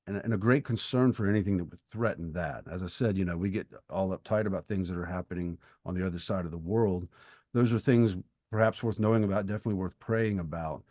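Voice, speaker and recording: Middle aged guy with a low voice chatting books on a podcast, dry close sound, feels his way through sentences. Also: darkish tone, no echo. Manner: thoughtful, halting, rephrases as he goes. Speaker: podcast co-host and writer. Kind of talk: reflective literary discussion.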